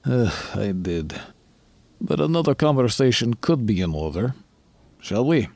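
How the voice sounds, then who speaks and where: An actor playing a calm male super-soldier leader in an audio drama, speaking in a measured, placating way. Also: medium pitch, creaky, no echo, heavily acted.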